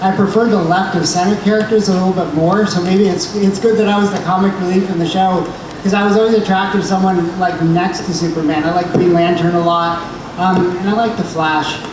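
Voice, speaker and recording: A man speaking as a panel guest, relaxed and self-deprecating, with a nerdy enthusiasm. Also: high voice, a little fast. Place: large convention hall. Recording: echoey hall miked from far off with noise.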